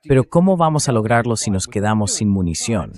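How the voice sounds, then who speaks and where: A man's voice: fairly low pitch, sing-song, brisk, darkish tone. A man hosting a political commentary show, explaining his points emphatically and addressing viewers directly.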